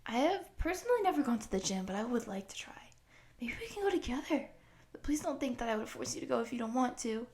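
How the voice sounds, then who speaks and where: A woman voicing a friendly, excitable eighteen-year-old wolf girl who rambles from one thing to the next in an audio roleplay for the listener. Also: a little high, fast. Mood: excited, curious. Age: young adult.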